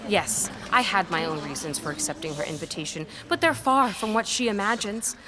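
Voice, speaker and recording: A woman's voice: medium pitch, animated, fairly quick, pushed and bright, slight background noise. A woman playing an earnest, capable scholar in an audio drama, speaking politely and directly. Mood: guarded, defensive. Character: earnest, capable young woman scholar, defensive yet respectful.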